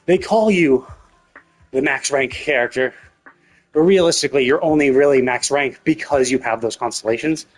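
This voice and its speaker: A man's voice: medium pitch, normal speed, soft background music. A man giving casual livestream commentary on games, explaining in a rambling way and often rephrasing himself. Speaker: video game livestreamer.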